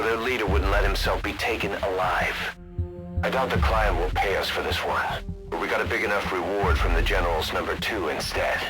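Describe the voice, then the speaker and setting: An actor playing a hard-bitten mercenary officer in a video game, giving reports in a dry, matter-of-fact way. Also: male voice, deep voice, lightly acted, rough recording. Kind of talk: video game radio briefing acting.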